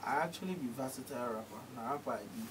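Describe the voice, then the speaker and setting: A young Nigerian man, a rapper guest, chatting in a radio studio with a Nigerian accent, animated and laughing often. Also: mid-range voice, far from the mic, a little hiss or hum, low-quality recording. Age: young adult. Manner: animated, laughs often, quick freestyle rapping. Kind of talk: casual radio interview in Pidgin. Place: small radio studio with purple foam walls. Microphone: studio mic, wearing headphones.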